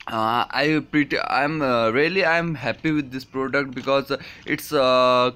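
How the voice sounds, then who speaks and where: A man in his twenties with a Nepali accent gives a casual, unscripted product review in a halting, hesitant way, with many fillers. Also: medium pitch, a bit bright, smooth. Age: 20s. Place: small furnished room indoors.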